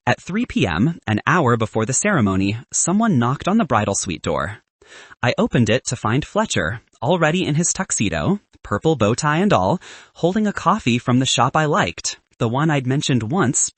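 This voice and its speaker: A man's voice: mid-range voice, a bit bright, breathy edge, no room sound. A man narrating a first-person romance story from a script, in the manner of an audiobook.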